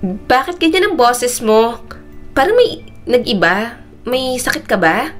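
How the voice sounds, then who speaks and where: A woman's voice: fairly high pitch, a little fast, soft background music, lightly acted. A woman voicing an emotional young woman in an audio story, sometimes stammering when upset. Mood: puzzled. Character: distressed young woman, giggly then tearful and apologetic.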